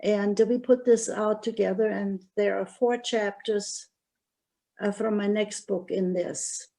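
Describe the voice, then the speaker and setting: An older woman with a German accent, an author telling her wartime memories in an interview, speaking haltingly with many pauses. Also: medium pitch, steady tone, gravelly, dark tone. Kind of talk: interview, recounting memoir.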